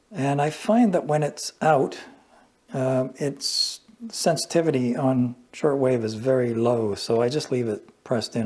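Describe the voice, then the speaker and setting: An older man giving a casual, unhurried product walkthrough, often pausing mid-sentence as he explains. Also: medium pitch, a little mellow. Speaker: vintage radio reviewer.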